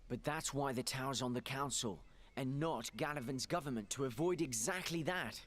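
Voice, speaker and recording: A man's voice: mid-range voice, moderate pace, fairly bright tone, natural voice. A man playing an inquisitive, skeptical adventurer in a fantasy game, pointed and dryly witty. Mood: questioning, pointed. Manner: questioning, dry, pointed. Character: skeptical, inquisitive male adventurer with dry wit.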